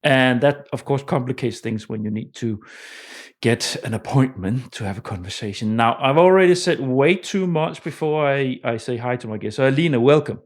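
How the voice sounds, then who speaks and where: A man hosting a podcast interview in an informal, halting conversational way, often repeating words and restarting. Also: medium pitch. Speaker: podcast host.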